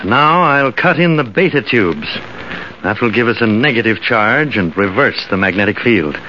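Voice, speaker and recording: A man's voice: fairly low pitch, expressive, moderate pace, sharp tone. A man playing a middle-aged American professor in an old radio drama, patient, authoritative and a little secretive. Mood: calm, knowing. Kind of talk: 1950s radio drama acting. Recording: muffled, very noisy old radio recording.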